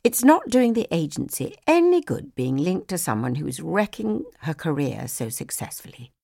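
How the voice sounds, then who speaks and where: Woman acting a hard-nosed, animated female talent agent. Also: low voice, gravelly, dry recording, studio quality, exaggerated voice. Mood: stern lecturing. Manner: brisk, blunt, emphatic lecturing.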